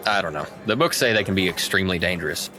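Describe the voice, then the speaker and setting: Man playing a chatty, animated tavern keeper. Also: low-pitched, fast, a little hiss or hum. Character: chatty, obliging male tavern keeper sharing local legend.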